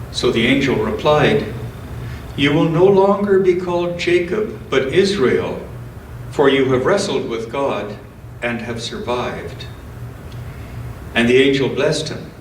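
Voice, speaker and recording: Slow-speaking man reading in a roomy church. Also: mid-range voice. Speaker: scripture reader at a church service. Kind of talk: church scripture reading with informal introduction. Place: indoors in a church. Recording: roomy church sound, distant mic, faint noise.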